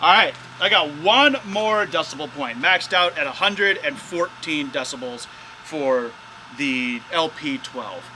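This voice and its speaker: Man, very bright forceful voice, casual gear review host, faint background noise. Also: on the high side, animated, measured pace, rough recording. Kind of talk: casual product test commentary.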